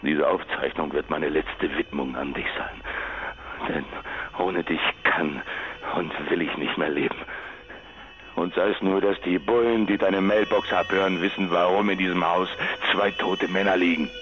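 A man acting in a German radio drama as an armed, jealous, grieving husband, theatrical, moving between soft mockery and threats. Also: deep voice, fairly even intonation, moderate pace, low-quality recording. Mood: bitter resignation.

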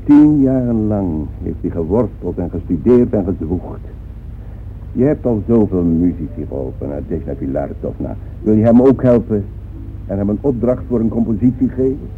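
A man's voice: lowish voice, expressive, moderate pace, a little hiss or hum. An actor playing an earnest man in a radio drama, pleading persuasively and emphatically on a protégé's behalf. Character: earnest male music patron pleading for a shy protégé. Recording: dull old radio recording.

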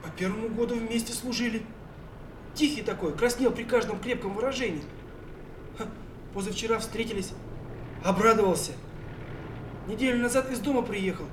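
An actor playing a chatty army sergeant, talking informally in a radio drama. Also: male voice, high-pitched, normal speed, pauses often, natural voice. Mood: fond, chatty. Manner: informal, talkative. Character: chatty army sergeant reminiscing about a comrade. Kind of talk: Soviet radio drama acting. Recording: echoey, clearly noisy radio drama.

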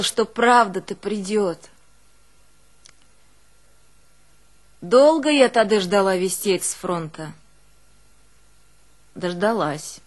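Actress as a slow-spoken, grieving village mother. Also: medium pitch, natural voice. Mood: hushed sorrow. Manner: plaintive, colloquial, unhurried, swinging between hushed and keening. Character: grieving, long-suffering Russian village mother recalling hardship. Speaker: stage actress in a one-woman audio play. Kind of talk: dramatic monologue, folk village storytelling.

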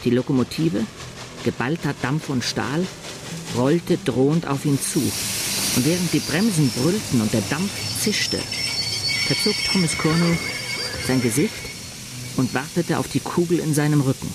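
An actor playing a storyteller who narrates a chronicle for a radio play in a measured, suspenseful way. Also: male voice, fairly high pitch, heavy background noise. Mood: tense. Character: dramatic male storyteller reading a newspaper chronicle. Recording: narration over music.

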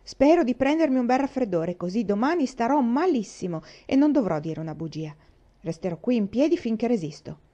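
Woman voicing a defiant little girl, insistent, higher pitch, muffled recording. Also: big pitch swings, fairly quick, mellow. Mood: stubborn. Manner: insistent, snapping. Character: stubborn young girl, defiant and headstrong.

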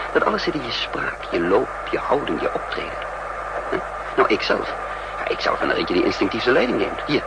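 An actor playing a resolute, calculating spy leader in a deliberate, commanding 1960s radio drama style. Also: male voice, mid-range voice, gravelly. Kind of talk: 1960s Dutch radio drama acting, part narration. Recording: noisy 1960s radio recording.

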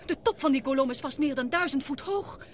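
A woman's voice: high-pitched, animated, fairly quick. A woman acting in a Dutch radio drama as a frightened, loyal companion, with faltering, dramatic delivery. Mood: awed, dismayed. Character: frightened, loyal woman following her beloved into danger. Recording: noisy old radio play, loud background ambience.

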